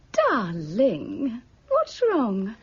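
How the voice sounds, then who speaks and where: Seductive female killer, breathy, taunting, unhurried, swooping pitch, radio drama, slightly dull sound. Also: huge pitch swings, heavily acted. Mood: cold, indignant. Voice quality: breathy, often dropping to a whisper. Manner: sly, theatrical, taunting. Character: seductive, mocking, cold-blooded female murderess.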